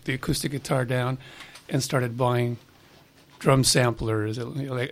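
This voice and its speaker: A man from Los Angeles, a musician guest on a radio show, answering in a relaxed, rambling way with lots of fillers. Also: mid-range voice. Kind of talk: casual interview answers.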